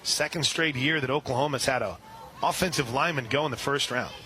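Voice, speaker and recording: A man calling a softball game on the radio, describing each pitch and reading sponsor spots between plays. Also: brisk, slight background noise, low-quality recording. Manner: rises sharply and loud on big plays. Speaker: radio play-by-play announcer. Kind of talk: live softball play-by-play.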